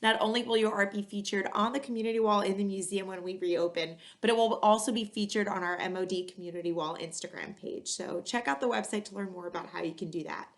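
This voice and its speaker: A woman hosting a children's read-aloud, speaking in clearly phrased storytelling style. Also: mid-range voice, fast, hardly any pauses. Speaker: museum educator and read-aloud host. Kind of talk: reading a children's picture book aloud.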